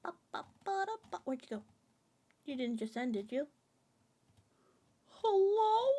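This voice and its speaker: A woman's voice: sits high, big pitch swings, unhurried, mellow. A woman streamer chatting casually with her viewers, rambling and joking in a playful, sing-song way. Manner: rambling and jokey, reading chat aloud.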